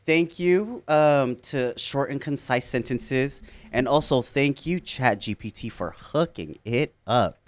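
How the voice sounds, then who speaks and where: A man hosting a casual interview podcast, talking in a slangy, expressive way with excitable reactions. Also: medium pitch, takes it slowly. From Filipino background. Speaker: podcast host.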